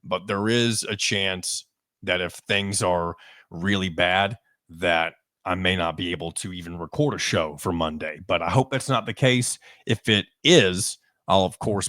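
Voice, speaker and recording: Low-voiced man hosting a sports podcast. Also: a bit bright, no room sound, clean studio sound. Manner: conversational, frequent ums and restarts. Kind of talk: casual sports podcast talk.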